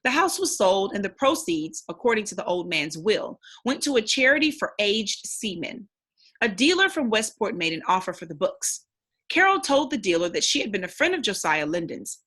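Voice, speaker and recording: A woman's voice: mid-range voice, sing-song, normal speed, a bit bright, slightly rough. A woman reading a storybook aloud expressively, in the instructive, simple manner of a teacher with young listeners.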